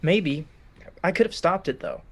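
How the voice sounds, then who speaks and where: A man's voice: fairly high pitch, animated, unhurried, husky, heavily acted. A man as a wry, shy young student. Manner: dry, understated quips. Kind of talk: script reading as radio play.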